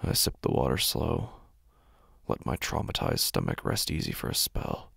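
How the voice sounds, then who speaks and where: An extremely low-voiced man tells a horror story in the first person. His delivery is brooding and intense, full of heavy fry and sliding dramatically in pitch. He sits close to the microphone. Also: very animated, normal speed, a bit bright, no echo. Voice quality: gravelly, husky, breathy at times. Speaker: horror podcast narrator.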